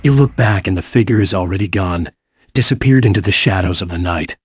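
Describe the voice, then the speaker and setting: A man's voice: sits low, brisk, husky. A man narrating a visual novel story in the second person, in a scripted storytelling style.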